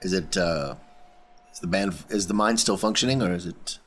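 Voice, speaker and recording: A man's voice: sits low, normal speed. A man taking part in a tabletop role-playing game with casual table talk.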